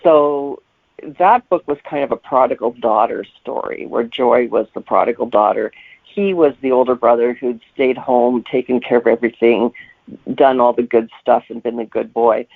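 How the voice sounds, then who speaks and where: A man with a creaky, gravelly voice talks as a guest author being interviewed. He explains things reflectively and conversationally. The sound is dry and narrow, like a telephone line. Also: mid-range voice, moderate pace, no room sound. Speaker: guest novelist.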